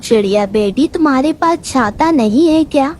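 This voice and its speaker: A woman voicing a kindly, motherly old bulbul in an animated moral story. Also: high-pitched, normal speed, a little hiss or hum.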